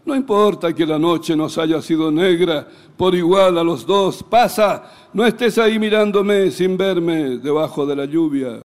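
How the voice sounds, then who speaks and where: A man giving a dramatic, expressive recitation of a poem in a declamatory manner. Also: a little high, measured pace, hardly any pauses.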